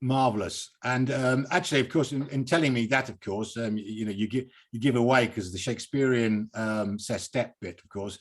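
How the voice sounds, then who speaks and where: A man's voice: medium pitch, fairly quick, hardly any pauses. A British man hosting a poetry reading in an effusive, digressive, conversational way. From United Kingdom. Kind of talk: live event hosting, conversational interviewing.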